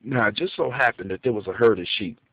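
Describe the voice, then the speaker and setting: A man's voice: on the low side, fast, breathy edge. A man tells a folktale aloud in an improvised, dramatic way. He leans on key words and repeats phrases for emphasis. The sound is narrow like a phone line and quite dry. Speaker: storyteller. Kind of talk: improvised oral folktale telling for adults. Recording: echoey, muffled phone-like audio.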